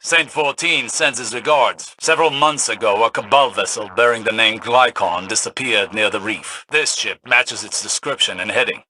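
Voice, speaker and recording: Bright-voiced, grave male space advisor. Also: fairly low pitch, poor audio. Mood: formal, guarded. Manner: measured, formal, cryptic. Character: calm, grave male space mission advisor, scholarly and wary. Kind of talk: video game dramatic voice acting.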